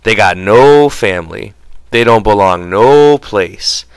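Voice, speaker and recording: A man's voice: lowish voice, lively intonation, slow pace, clean tone, no echo. A man voicing an impatient but protective migrant ranch hand in an audiobook, with blunt, folksy rural speech. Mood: dreamy, rhythmic.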